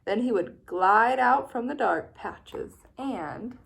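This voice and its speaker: A woman teacher reading a children's picture book aloud with expressive, playful storytelling. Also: on the high side, takes it slowly, creaky.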